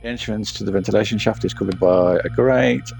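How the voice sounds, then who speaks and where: A man streaming a game, reading its text aloud and thinking out loud between passages in casual commentary. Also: fairly low pitch, fairly quick, creaky, soft background music.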